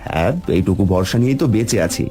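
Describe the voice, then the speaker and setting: A man voicing an honest, hardworking poor fisherman in a cartoon story, gentle but worried. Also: deep voice, quick pace, music in the background, natural voice. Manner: warm, worried. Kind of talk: cartoon voice acting.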